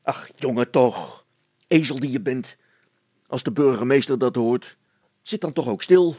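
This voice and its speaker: A man voicing a practical, gruff middle-aged father in a storybook, talking colloquially with some hesitation. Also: medium pitch, animated, a little slow, warm tone, no echo. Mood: scolding, urgent.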